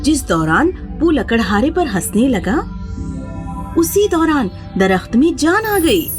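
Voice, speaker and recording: Animated woman storyteller, fairly high voice. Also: over music. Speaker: storyteller, narrator. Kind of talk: scripted children's fairy-tale narration.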